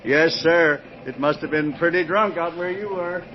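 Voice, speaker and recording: Muffled radio recording, chatty higher-voiced older man, acted. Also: unhurried, close to an everyday voice. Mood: amused, friendly. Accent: American. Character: chatty, amused older male train passenger. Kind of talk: old-time radio drama acting. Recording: dull, noisy old radio recording.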